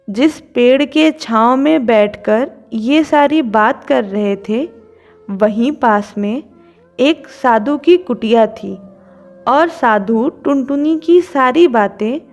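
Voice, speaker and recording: A woman's voice: on the high side, slow pace, a little mellow, faint music behind. A woman narrating a children's cartoon story from a script.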